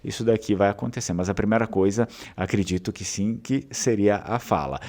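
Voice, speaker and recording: A man's voice: lowish voice, fairly quick, dark tone. A man from southern Brazil with a southern Brazilian Portuguese accent, hosting his channel in a casual, rambling talk full of fillers and restarts. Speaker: channel host.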